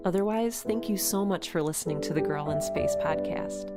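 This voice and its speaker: A woman podcast host reads scripted credits. Her voice is on the low side, friendly and casual, with clear music underneath. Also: moderate pace.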